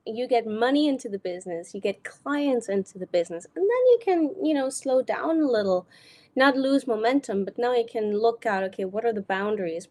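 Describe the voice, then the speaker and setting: A young adult woman from Germany with an American accent, talking directly and energetically as a business coach in a solo live video. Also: mid-range voice. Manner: energetic, direct, casual with occasional swearing.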